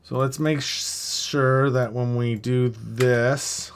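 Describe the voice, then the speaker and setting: A man streams game development from a computer desk in a casual register. He talks through the work as it happens, thinking aloud, and breaks off to answer chat. The pitch sits in an ordinary middle range. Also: steady tone, slow pace, slight background noise. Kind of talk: casual livestream work commentary.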